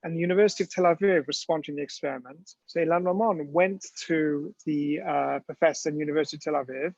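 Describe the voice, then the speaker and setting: A man's voice: on the high side, quick pace, vocal fry, a little mellow, no echo. A man giving a storytelling lecture with slides, talking conversationally with many ums and restarts, in a dull, dry lo-fi recording.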